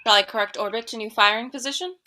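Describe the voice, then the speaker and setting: An actress playing a dutiful, alert female helm officer in a sci-fi audio drama, brisk and military. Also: on the high side, pushed and bright.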